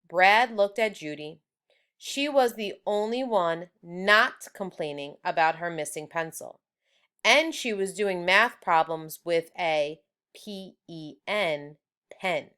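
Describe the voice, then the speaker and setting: Unhurried woman reading a children's book. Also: fairly low pitch, animated, fairly bright tone, clean tone. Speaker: read-aloud storyteller. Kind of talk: children's book read-aloud.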